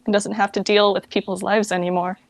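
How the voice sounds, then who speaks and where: A woman's voice: mid-range voice, flat delivery, normal speed. A woman chatting casually as an interview guest. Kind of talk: casual chat.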